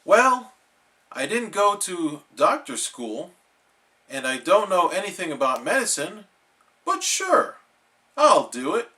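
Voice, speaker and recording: A man voicing a dim but well-meaning cartoon starfish, speaking in an emphatic, dramatic, drawn-out way. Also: high voice, wildly swooping pitch, sharp tone, pitched above the performer's own voice, full character voice. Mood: eager.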